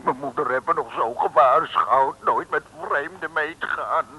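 A man's voice: a little high, bright, forceful tone, low-quality recording. An actor playing a whining, cowardly strongman, a terrified mama's boy, in 1960s comic radio play style. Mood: fearful. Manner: whining, wailing, slow. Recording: hissy old radio recording.

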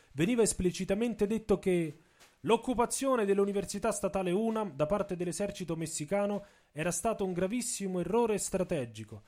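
A man with a somewhat high-set voice tells a scripted story for radio theatre. His delivery is theatrical and intense, that of a dramatic narrator. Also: dark tone.

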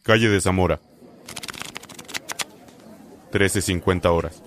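A man's voice: sits low, fairly quick, slight background noise. A man tersely announcing scenes in a radio drama. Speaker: announcer of scene place and time.

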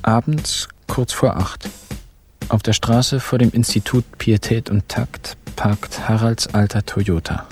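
An actor as a wry crime-story narrator. His lowish male voice is dry and laconic with an ironic edge, set over prominent guitar music in a radio play. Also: a little mellow, close to an everyday voice.

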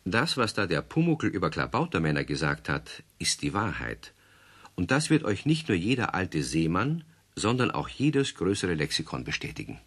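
A man narrating a children's radio play in calm, scripted storytelling. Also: sits low, normal speed, slightly rough.

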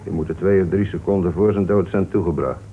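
A man playing a courteous, methodical police inspector in a Dutch radio play, musing calmly with small polite interjections. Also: low-pitched, quick pace, close to an everyday voice. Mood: intrigued, deliberate. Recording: old radio recording, narrow tinny band, hiss.

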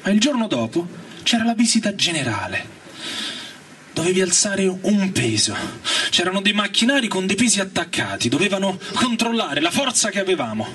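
A man's voice: sits high, very animated, normal speed, a little hiss or hum. A man giving a dramatic, colloquial reading of a novel, telling it emphatically in short phrases. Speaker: reader and performer of a novel. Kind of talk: dramatic spoken-word reading with music. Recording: distant mic under loud music. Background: electric organ and guitar music.

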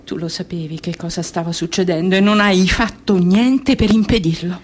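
An actress as a troubled woman's inner voice in a radio play. Her pitch sits slightly low. She speaks urgently and insistently, with an accusing edge. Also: moderate pace, natural voice. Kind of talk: radio drama, voice in a chorus.

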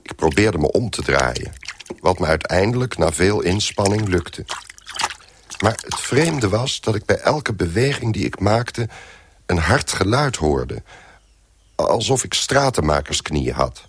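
An actor playing a trapped, bewildered man who tells his own ordeal in a radio drama, in a deliberate, musing, wry manner. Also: deep voice, sing-song, noisy background, heavily acted. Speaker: narrator and lead character. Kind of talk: radio drama first-person narration.

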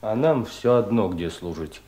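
Stolid, sullen servant, lowish voice, gloomy deference, echoey vintage radio play. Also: male voice, expressive, a little slow, warm tone. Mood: sullen, indifferent. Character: sullen, indifferent manservant, plain and stolid. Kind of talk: 1970s Russian audio play. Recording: roomy, echoing studio sound.

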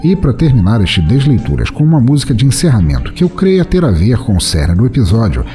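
A man hosting a podcast, giving relaxed, chatty closing remarks that wander a little as he talks to his listeners. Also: mid-range voice, brisk, soft background music. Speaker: podcast host.

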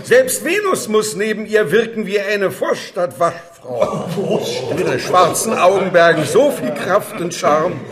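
An actor playing a boastful, drunken finance minister in a radio drama, talking in a grandiose, effusive way. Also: male voice, high-pitched, animated, slow pace. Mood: tipsy boasting. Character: boastful tipsy finance minister praising his wife. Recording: noisy banquet crowd behind.

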